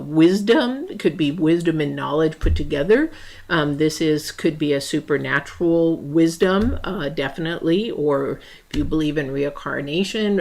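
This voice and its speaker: A woman from the United States giving a casual tarot reading, rambling with many fillers and restarts, her voice a little worn and going hoarse. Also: low-pitched. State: losing her voice. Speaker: tarot reader. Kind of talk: casual spiritual reading to camera.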